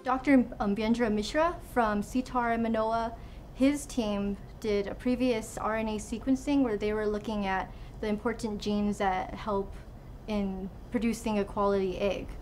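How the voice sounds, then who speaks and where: A woman's voice: mid-range voice, fairly even intonation, moderate pace, darkish tone, faint music behind. A woman in her twenties from Hawaii, a student researcher giving informal interview answers in a laboratory. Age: 20s. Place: indoors in a laboratory.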